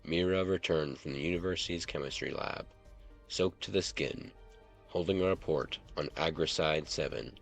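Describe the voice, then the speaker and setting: A man narrating a scripted story in a very deep, gravelly voice. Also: normal speed, soft background music.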